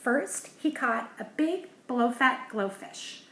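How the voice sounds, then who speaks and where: A woman with a slightly high voice reads a picture book aloud to children at a slow, patient pace. She keeps breaking off into gentle, hushed asides about the pictures. The recording is roomy and echoey. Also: lively intonation. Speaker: storybook reader. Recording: roomy echo, faint background hiss.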